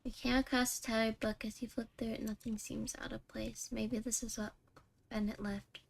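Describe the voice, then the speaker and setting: High-voiced woman streaming a game. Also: little pitch movement, normal speed, gravelly, a little hiss or hum. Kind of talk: casual live stream chat, reading game text aloud.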